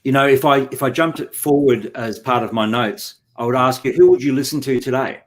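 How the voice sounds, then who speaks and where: Halting male interview host. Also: medium pitch, slight background noise. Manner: halting questions with many "um" and self-corrections. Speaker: radio show host. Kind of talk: interview hosting.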